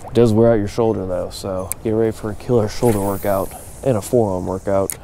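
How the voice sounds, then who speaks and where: A man's voice: fairly low pitch, fairly quick, creaky, a little hiss or hum. A young man talking casually to an action camera while fishing from a kayak out on the open sea, explaining his technique. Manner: excitable, chatty, explains technique. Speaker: fishing vlogger.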